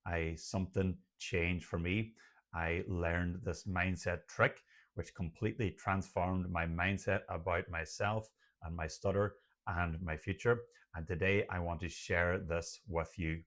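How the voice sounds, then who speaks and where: A man's voice: low voice, steady tone, unhurried, fairly bright tone. An Irish man, a doctor who stutters, speaking earnestly to camera about his experience in a personal vlog. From Ireland. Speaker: doctor and stuttering coach, channel host.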